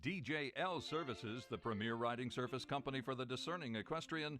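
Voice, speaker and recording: A man reading formal scripted narration for a sports broadcast, measured and even. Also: mid-range voice. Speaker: announcer. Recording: voice-over with music bed. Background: music.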